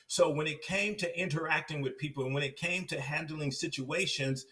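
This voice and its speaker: A man's voice: a little high, moderate pace, recorded from a distance. A man telling his life story as a podcast guest, acting out other people's lines as he goes. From Compton, California. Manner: animated storyteller acting out quoted voices. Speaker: podcast guest, success coach.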